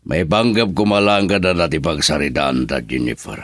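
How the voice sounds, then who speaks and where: A man's voice: a bass voice, normal speed, no room sound, clean studio sound. An actor playing a middle-aged philandering businessman father in a radio drama. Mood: smooth, evasive. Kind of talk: Ilocano radio drama acting.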